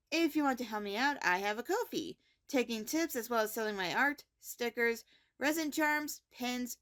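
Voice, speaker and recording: A woman with a very high, expressive voice talks to her viewers as host and creator. She runs through a channel sign-off in a direct address.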